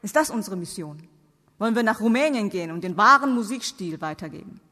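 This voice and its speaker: A woman's voice: medium pitch, lively intonation, a little fast, pushed and bright. A woman who is a non-native German speaker preaches theology to an audience with emphatic rhetorical questions. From outside Germany. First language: not German. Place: large reverberant hall before seated audience. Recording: long reverb of a large hall.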